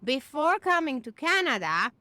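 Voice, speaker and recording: A woman's voice: high-pitched, big pitch swings, moderate pace, bright, forceful tone, no echo. A woman from Romania, answering interview questions confidently as a business founder. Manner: confident, matter-of-fact. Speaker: guest, naming agency founder.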